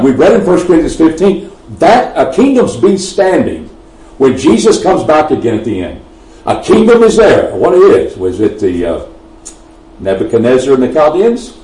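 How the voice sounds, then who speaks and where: A man's voice: on the high side, warm tone. Texan man preaching in an echoey hall. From Texas. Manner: emphatic, swelling on key phrases, frequent restarts. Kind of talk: sermon. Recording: roomy echo, mic at a distance.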